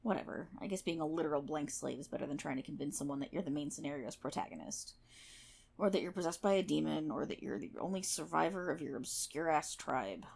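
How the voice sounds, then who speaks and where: A woman's voice: low voice, quick pace, vocal fry, darkish tone, slightly rough, full character voice. A woman playing a prickly, sarcastic guild healer in an online game, scolding and swearing one-on-one in an ASMR roleplay. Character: brash, prickly tsundere MMO guild healer, a woman gamer.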